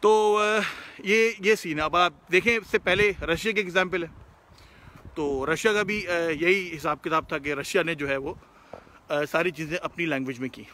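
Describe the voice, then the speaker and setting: A man's voice: a little high, normal speed, bright, forceful tone, a little hiss or hum. A man with a Pakistani Urdu accent hosting a live question session, talking earnestly and casually while out on the road, mixing in English words. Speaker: host answering viewer questions. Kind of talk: casual live-stream talk. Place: outdoors, driving past shops.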